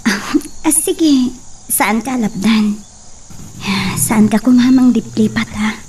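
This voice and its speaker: A woman playing a gentle young sweetheart. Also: fairly high pitch, slow. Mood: pleading. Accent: Ilocano. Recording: distant mic with faint noise. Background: crickets and insects.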